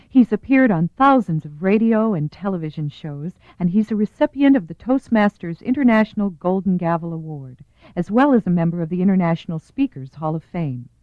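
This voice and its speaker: A woman reading scripted promotional narration as the announcer of a recorded program. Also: on the low side. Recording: tinny, echoey, band-limited audio.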